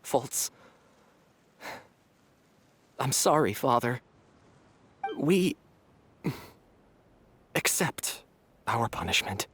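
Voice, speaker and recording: A man voicing a contrite, resigned young man in a video game, solemn, halting and sincere. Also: mid-range voice, big pitch swings, fairly bright tone, a little hiss or hum, exaggerated voice.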